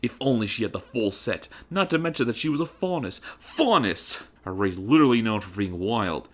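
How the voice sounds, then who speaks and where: A man's voice: fairly low pitch, animated, moderate pace. A man voicing a brash, vain young woman with dramatic, emphatic delivery in a comic story. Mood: envious musing. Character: brash, vain young woman, self-satisfied and easily rattled.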